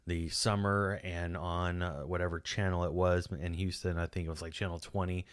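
Deep-voiced Texan man rambling casually. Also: flat delivery, normal speed, dry recording, studio quality. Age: 40s. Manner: rambling, chatty, frequent restarts and fillers. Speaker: podcast host. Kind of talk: casual solo video commentary. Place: small home studio room. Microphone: boom-mounted studio mic close up.

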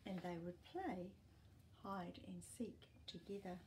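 A middle-aged woman reading a picture book aloud to children as a storytime host, expressive, with chatty asides to the listener. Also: lowish voice, slow, a little hiss or hum, rough recording. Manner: expressive, asks the young listener questions, gentle gasps. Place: small indoor room with books and toys.

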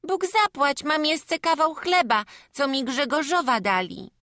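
A woman's voice: sits high, a little slow, fairly bright tone, no room sound, studio quality. A woman voicing a small, humble and wary peasant boy who speaks in rustic village dialect, in an audiobook. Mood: earnest. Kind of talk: audiobook acting.

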